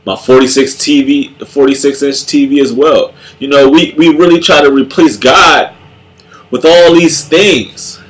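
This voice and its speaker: Slow, halting man with a stuffy, nasal voice. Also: medium pitch, poor audio. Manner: halting, many repeated words and restarts. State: congested, nasal. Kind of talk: casual spoken reflection on faith.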